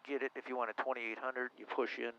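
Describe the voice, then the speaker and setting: Gravelly pilot on dull cockpit audio. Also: male voice, mid-range voice, level tone, fast, bright, forceful tone. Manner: talks through steps aloud, sometimes muttering. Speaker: pilot demonstrating the plane. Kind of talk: casual cockpit walkthrough with checklist talk. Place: small aircraft cockpit. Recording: dull cockpit intercom audio, faint noise.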